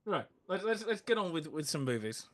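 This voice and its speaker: A man from Wales co-hosting a casual film podcast, chatty and wry, with quick asides. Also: a little high, sing-song, fairly bright tone. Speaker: podcast co-host.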